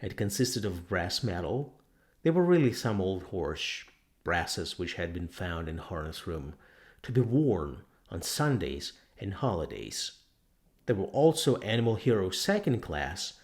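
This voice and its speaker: A man's voice: deep voice, sing-song, measured pace, darkish tone. A man reading a novel aloud as scripted dramatic narration. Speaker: audiobook narrator.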